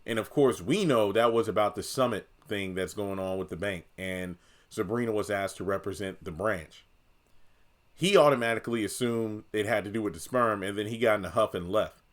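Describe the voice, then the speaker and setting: A man's voice: on the low side, moderate pace. A man giving casual, conversational opinion commentary on a TV drama, thinking aloud and speaking straight to viewers. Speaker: video commentator.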